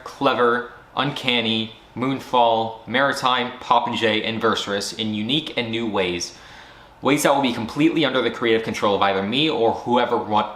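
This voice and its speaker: A man's voice: mid-range voice, fairly bright tone. A man who founded a studio gives a firm, earnest year-end recap, speaking directly to fans. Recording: roomy echo.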